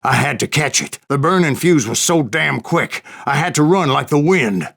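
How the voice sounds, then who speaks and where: An actor playing a grizzled old gunslinger in a Western video game, spinning wry, folksy tales in a gravelly American Western accent. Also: male voice, fairly high pitch, animated, normal speed, fairly bright tone, heavily acted. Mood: wry storytelling, then excited, tense. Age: older. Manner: folksy, wry, salty-tongued raconteur.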